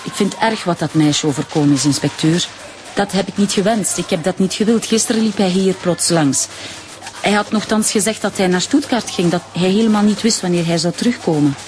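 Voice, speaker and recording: A woman's voice: lowish voice, brisk, darkish tone, soft background music, natural voice. A woman playing a proud, emphatic widowed shopkeeper. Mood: regretful, guarded. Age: middle-aged. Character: proud widowed Turkish shopkeeper, mother protective of her son. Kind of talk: naturalistic radio drama acting.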